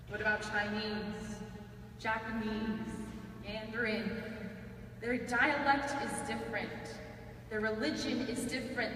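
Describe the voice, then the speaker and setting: A young woman student giving a prepared speech, earnest and measured with touches of dry humor. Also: on the high side, a bit bright. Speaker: student speaker. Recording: echoey hall, mic far off, faint hiss.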